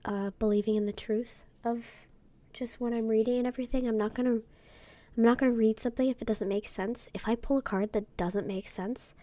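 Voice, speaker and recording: Woman, soft whispery ASMR voice, mellow and warm, gentle and unhurried, a bit sick. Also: fairly high pitch, steady tone. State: sick, occasional throat clearing. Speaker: YouTuber. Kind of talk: whispered ASMR tarot deck unboxing.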